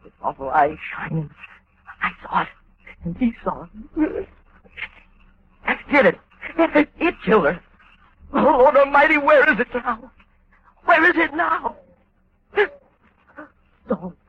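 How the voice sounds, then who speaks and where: A high, trembling voice of a meek hunted man, stammering and begging in panic. It comes through a dull, muffled old radio recording with steady hiss underneath. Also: slow, pauses often, exaggerated voice. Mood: terrified. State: frightened, near tears. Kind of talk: 1940s radio acting.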